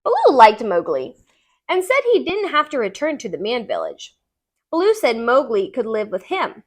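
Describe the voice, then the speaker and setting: Woman, fairly high voice, children's read-aloud, expressive storyteller, deliberate phrasing. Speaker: tutor reading aloud.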